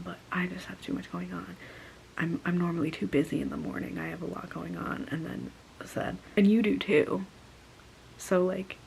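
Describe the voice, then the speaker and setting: Young woman whispering, congested and gravelly. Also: lowish voice, slight background noise, poor audio. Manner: mostly whispered, chatty, exasperated storytelling. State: congested and sore-throated. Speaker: vlogger. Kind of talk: casual storytime chat.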